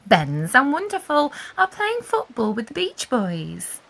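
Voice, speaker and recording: A woman reading a children's story aloud expressively, with deliberate emphasis and animated storytelling. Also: sits high, gravelly, slightly rough. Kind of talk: expressive read-aloud narration for young children.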